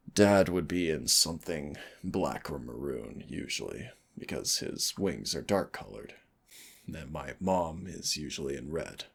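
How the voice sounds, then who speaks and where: Demon prince, played by a man: affectionate, regal, gravelly and slow-spoken, for intimate audio roleplay. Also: rumbling low, fairly bright tone, exaggerated voice. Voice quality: husky, gravelly. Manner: tender, unhurried, wistful storytelling. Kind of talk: intimate roleplay audio acting, soft and soothing.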